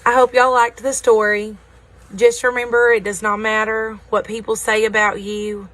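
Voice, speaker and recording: A woman's voice: fairly high pitch, little pitch movement, normal speed, a bit bright, slight background noise, rough recording. A woman reading a children's story aloud. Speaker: story reader at a school.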